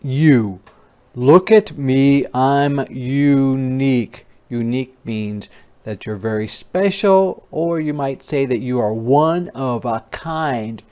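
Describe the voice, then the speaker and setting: A teacher reading aloud to young children in a phonics lesson, enunciating letter sounds and words with exaggerated care and adding playful, expressive asides. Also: mid-range voice, slow.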